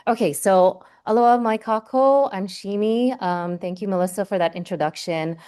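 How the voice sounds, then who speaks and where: A woman's voice: medium pitch, normal speed, dark tone, clear, resonant tone. A woman who grew up in Hawaii, Japanese as her first language, giving a chatty seminar talk full of "um" and "like". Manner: chatty, many fillers like "um" and "like". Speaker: guest seminar speaker. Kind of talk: personal academic seminar talk.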